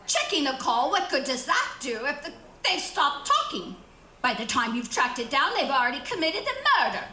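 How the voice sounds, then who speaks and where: A woman's voice: high voice, big pitch swings, normal speed, sharp tone, roomy sound, a little hiss or hum, heavily acted. A woman playing a nervous, imperious bedridden wife in a radio thriller, agitated, stammering and insistent. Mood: demanding. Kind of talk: theatrical radio thriller acting.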